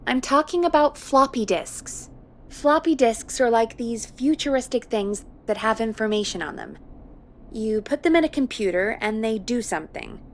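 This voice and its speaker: Higher-pitched, practical woman radio producer. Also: animated, normal speed, slight background noise. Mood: matter-of-fact, wry. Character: practical, frank woman radio producer in 1980s small town. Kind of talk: video game voice acting, conversational.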